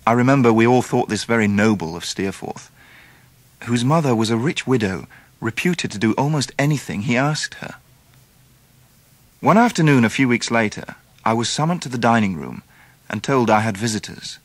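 An actor playing a grown English gentleman narrating his boyhood memories in a radio drama. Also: mid-range voice, expressive, normal speed, no room sound, natural voice. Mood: reflective. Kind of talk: scripted first-person radio drama narration.

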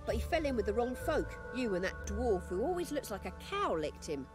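A woman's voice: fairly high pitch, very animated, fairly quick, over music. An actress playing a fiery, plain-spoken townswoman in a video game, with an earthy, blunt manner. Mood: scornful, indignant.

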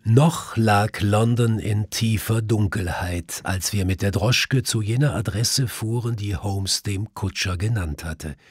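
A man's voice: fairly low pitch, takes it slowly, hardly any pauses. A man reading a literary detective story as an audiobook narrator.

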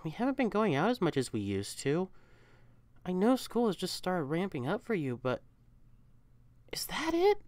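A woman's voice: fairly low pitch, a little mellow, no echo. A young woman voicing an affectionate, teasing, protective catboy boyfriend in an intimate, coaxing ASMR roleplay. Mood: worried, tender. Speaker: audio roleplay performer.

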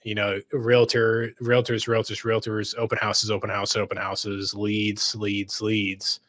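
A man's voice: lowish voice, completely flat delivery, unhurried, fairly bright tone. A middle-aged man from Egypt hosting an interview show from his home studio, enthusiastic and fond of repeating words for emphasis. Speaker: host and coach. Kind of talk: casual interview over video call. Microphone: studio microphone on arm.

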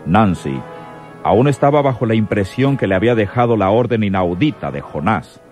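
A man's voice: fairly low pitch, expressive, moderate pace, darkish tone. A man reading scripted narration for a radio soap. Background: music.

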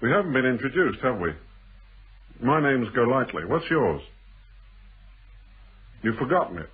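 A very husky, rough-edged British man in a radio thriller, sly and insinuating. His speech swings widely in pitch as he teases and probes, on a muffled old radio recording. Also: sing-song. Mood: coolly needling. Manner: teasing, probing, deliberate. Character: shrewd, insinuating male stranger, needling storyteller in a thriller. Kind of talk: British radio drama acting. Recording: muffled band-limited old radio broadcast.

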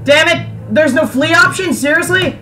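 A man's voice: very high voice, normal speed. A young man giving casual, sweary, exasperated let's play commentary as a gaming video host. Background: game music.

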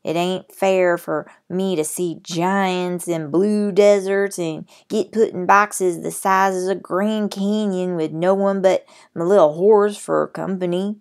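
A woman voicing a tiny drunken cowboy man with a Texas accent, shouting and drawling in folksy grammar. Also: on the low side, steady tone, slow, few pauses. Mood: self-pitying.

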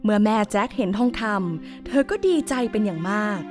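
A woman's voice: mid-range voice, sing-song, fairly quick, over music. A woman narrating a scripted children's fairy tale as a storyteller.

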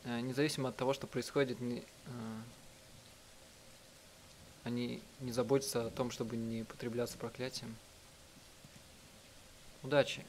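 A man reading out a live translation of a visual novel in a halting, self-correcting way. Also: medium pitch, little pitch movement, slight background noise, rough recording. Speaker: let's player reading out translations. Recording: music behind the voice. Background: game music.

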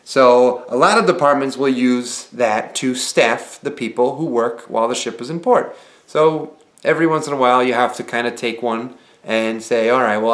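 A man's voice: medium pitch, fairly bright tone. A man in his thirties talking to camera in a casual vlog, explaining things plainly with frequent fillers, seated in a small room at home. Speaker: vlogger and former cruise ship entertainer. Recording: roomy echo from camera mic.

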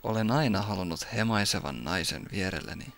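A man's voice: deep voice, expressive, gravelly, heavily acted. A man playing a suave, sarcastic young cook with mock-polite theatrical manners in an anime parody dub. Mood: dry politeness. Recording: loud music behind the voice.

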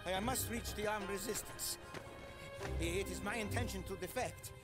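Actor as a pompous, high-pitched scientist defector. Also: male voice, loud music behind the voice, close to an everyday voice. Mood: formal, anxious. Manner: formal, hurried. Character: pompous, nervous male scientist defector, formal. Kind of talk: video game cutscene acting.

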